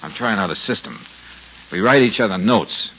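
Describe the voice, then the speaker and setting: A confident, wry private eye in a fifties radio mystery. His delivery swings widely and brightly, brisk and full of wisecracks, on a dull old recording with faint noise. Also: on the low side, expressive. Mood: wry, explaining. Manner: brisk, wisecracking, self-assured. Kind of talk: 1950s radio detective drama acting.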